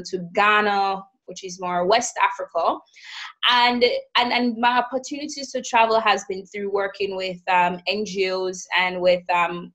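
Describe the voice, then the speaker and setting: Woman guest from Jamaica, medium pitch, conversational, halting with ums. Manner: warm, with frequent fillers and repeated starts. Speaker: podcast guest, business leader. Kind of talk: conversational interview.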